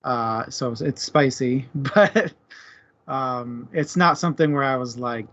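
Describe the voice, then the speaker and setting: Male discussion host, mid-range voice, informal and conversational, dull low-fidelity recording. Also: measured pace, fairly bright tone. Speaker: host of a book discussion stream. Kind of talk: casual discussion.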